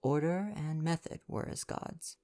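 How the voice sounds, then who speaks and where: A young man reading a story gently, in a soft bedtime ASMR style. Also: on the high side, unhurried. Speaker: audiobook-style reader and ASMR performer.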